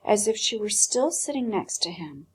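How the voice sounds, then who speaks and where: Animated, husky-voiced woman reading aloud. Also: medium pitch. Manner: dramatic, with pauses and hushed phrases. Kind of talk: expressive storybook read-aloud and commentary.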